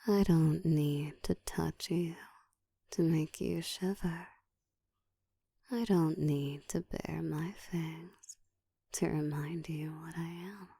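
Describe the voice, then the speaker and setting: A woman voicing a seductive, dominant ancient vampire in an intimate ASMR roleplay, teasing and commanding, often slipping into a soft whisper. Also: steady tone, slow pace, dry recording, exaggerated voice. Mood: seductive, taunting. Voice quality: soft, breathy whispering mixed with low murmur.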